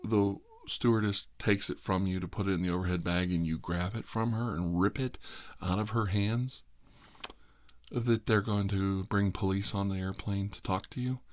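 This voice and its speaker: A man's voice: fairly low pitch, steady tone, moderate pace, no room sound. A middle-aged American man telling a rambling story in ASMR style, with a wry, sarcastic, exasperated manner.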